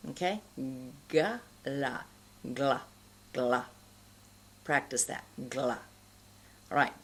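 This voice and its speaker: A middle-aged woman giving an instructional pronunciation lesson to camera, enunciating deliberately and carefully. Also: very deep voice, steady tone. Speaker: pronunciation teacher.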